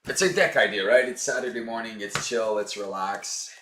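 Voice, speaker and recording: A hoarse man chatting casually as he plays through a card game for his channel, upbeat and full of fillers. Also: fairly low pitch, moderate pace, a bit bright, reverberant, a little hiss or hum. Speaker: board game channel host. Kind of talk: casual tabletop game playthrough.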